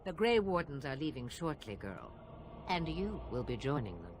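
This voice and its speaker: A woman's voice: very deep voice, big pitch swings, moderate pace, a little mellow, slight background noise. An actress playing a shrewd, imperious old witch of the wilds with a dry, sardonic manner in a dramatic video game. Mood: scolding, sardonic. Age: older.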